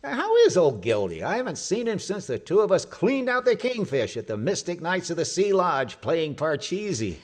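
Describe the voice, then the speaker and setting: A man's voice: sits high, sing-song, moderate pace, few pauses. A man playing a blustery, boastful husband who spins tall tales in old-time radio comedy style. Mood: wisecracking. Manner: blustery, wisecracking, alliterative patter.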